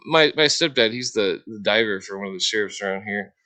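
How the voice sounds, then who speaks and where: A man's voice: on the low side, a little fast, bright, forceful tone. A man chatting casually on a livestream, telling anecdotes in a rambling way with repeated phrases. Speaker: livestream talker. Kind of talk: casual chat.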